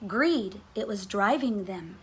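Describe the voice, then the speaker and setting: A woman reading a children's storybook aloud in an expressive, dramatic way with deliberate, emphatic phrasing. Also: mid-range voice, slight background noise.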